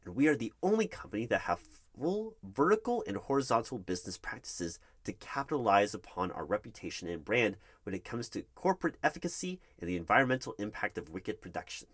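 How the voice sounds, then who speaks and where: A man's voice: on the low side, sing-song, brisk, few pauses. A man playing a nervous, polite corporate hiring manager in an ASMR roleplay, speaking formally with some hesitation. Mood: formal, earnest. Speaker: roleplay performer. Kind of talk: one-sided ASMR roleplay of a job interview.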